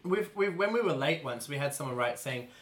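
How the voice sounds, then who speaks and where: A man from Australia with an Australian accent, co-hosting a podcast in relaxed, low-energy casual chat. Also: brisk. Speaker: podcast co-host.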